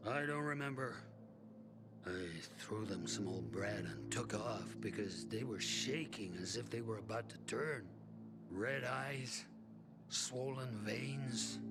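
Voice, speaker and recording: Man acting as weary male survivor, video game drama, brooding and bitter, unhurried delivery, loud music underneath. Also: mid-range voice, natural voice. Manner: slow, brooding, bitter storytelling with pauses. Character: world-weary, resigned male survivor in a plague-walled city.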